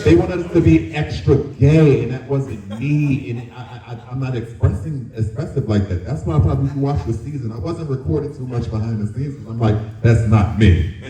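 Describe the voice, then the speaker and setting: A man with a deep voice telling candid personal stories as a dancer on a discussion panel, colloquially and with quoted asides. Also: normal speed, few pauses, dark tone, far from the mic. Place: town hall event room. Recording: muffled, echoey room, off mic.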